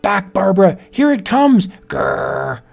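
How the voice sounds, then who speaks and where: A man's voice: mid-range voice, lively intonation, normal speed, darkish tone, natural voice. A man voicing a rugged, skeptical hero who turns brave in a read-aloud horror story. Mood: urgent.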